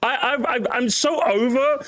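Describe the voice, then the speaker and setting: A man in his thirties hosting a livestream, chatting casually, animated, swearing and laughing often. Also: very high voice, normal speed. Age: 30s. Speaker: streamer host.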